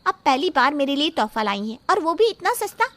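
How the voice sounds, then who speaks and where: A woman's voice: sits high, sing-song, brisk, a bit bright, no echo. A woman playing a spoiled, pouty twenty-one-year-old little sister in a story drama, whiny and petulant. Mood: whiny, disappointed. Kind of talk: dramatised Hindi moral story acting.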